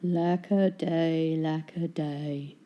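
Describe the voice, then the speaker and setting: Lady doing a mild old-fashioned husband, lowered voice, really slow and ponderous, bit gloomy. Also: steady tone, dull audio. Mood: glum. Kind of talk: children's storybook character voice.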